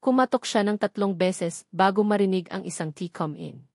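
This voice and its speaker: A woman's voice: mid-range voice, normal speed, a little mellow, no echo. Filipino woman narrating, close and dry. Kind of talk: scripted dramatic story narration.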